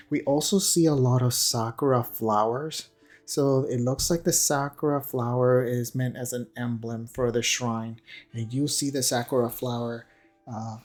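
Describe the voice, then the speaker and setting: A man talks through what he is analysing in a casual commentary style. He is hesitant and halting, working out his thoughts aloud at an ordinary middling pitch. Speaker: commentator on a game character trailer.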